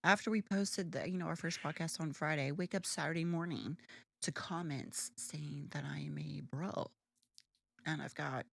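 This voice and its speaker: A woman with a deeper alto voice co-hosting a casual podcast, brash and joking, swearing freely. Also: moderate pace, gravelly, breathy edge. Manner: animated, lively pitch. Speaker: podcast co-host. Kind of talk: casual chat.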